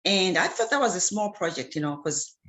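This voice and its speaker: A woman from Zimbabwe, an interview guest who answers earnestly and often pauses on fillers and restarts. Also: on the low side, animated, a bit bright. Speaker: guest, clean water advocate.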